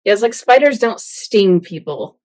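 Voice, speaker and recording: A woman's voice: mid-range voice, expressive, normal speed, darkish tone, no echo. An easygoing woman co-hosting a casual podcast, laughing often. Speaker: podcast co-host.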